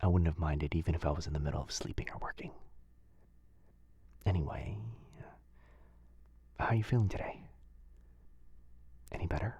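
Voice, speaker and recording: A man playing a kind, caring wizard in a boyfriend ASMR roleplay, murmuring softly and a little hesitantly close to the microphone. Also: a bass voice, normal speed, pauses often, highs cut off, heavily acted. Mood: tender, caring. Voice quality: soft, breathy, husky. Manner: gentle, hesitant, murmuring, intimate. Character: kind, caring young male wizard, gently fussing. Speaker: audio roleplay voice performer. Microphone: close, dry microphone.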